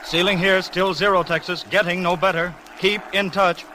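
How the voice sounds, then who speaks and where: A man playing a businesslike airport radio operator, crisp and procedural, in a 1930s film. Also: high-pitched, fairly even intonation, normal speed, close to an everyday voice. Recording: vintage film soundtrack, faint background noise.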